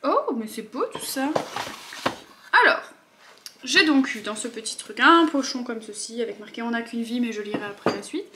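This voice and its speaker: A woman's voice: a little high, normal speed, background noise. Chatty woman unboxing a beauty box. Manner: chatty, with asides and trailing murmurs. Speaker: beauty vlogger. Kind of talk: casual unboxing chat.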